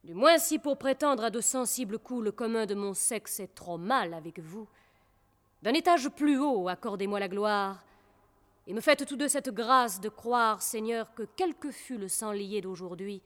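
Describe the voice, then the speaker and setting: A proud young princess played by an actress declaims classical verse with haughty, ironic formality. Her pace is very slow and measured. The voice carries a long hall reverb. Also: mid-range voice, expressive, lightly acted. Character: proud, disdainful young princess, coldly ironic toward suitors. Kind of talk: classical French verse comedy, theatrical declamation.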